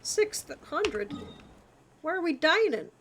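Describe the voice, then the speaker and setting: A woman's voice: very high voice, very animated, slow pace, fairly bright tone, a little hiss or hum. A woman chatting casually as she live-streams a game, with music playing behind her.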